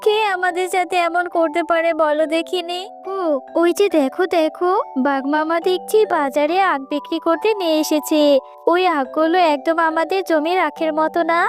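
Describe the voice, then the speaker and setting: A woman's voice: very high-pitched, fairly even intonation, moderate pace. A woman voicing a plaintive fox wife in an animal cartoon. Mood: worried, suspicious. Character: small, high-voiced cartoon vixen wife, plaintive. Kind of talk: scripted cartoon dialogue.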